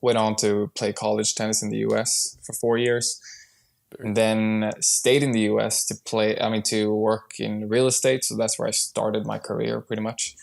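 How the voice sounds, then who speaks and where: A man's voice: fairly low pitch, flat delivery, moderate pace, creaky. A man from Sweden who partly grew up in Brazil, talking casually as a podcast guest, often restarting his sentences. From born in Sweden, partly raised in Brazil. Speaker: podcast guest, startup founder. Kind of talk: casual conversational interview.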